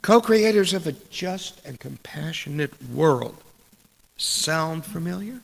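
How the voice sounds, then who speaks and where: A middle-aged man delivering a sermon in a deliberate, measured way with dramatic pauses and a gravelly, husky voice. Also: a little high, expressive. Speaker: preacher reading a sermon. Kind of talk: sermon with read quotations. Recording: long echoing hall reverb.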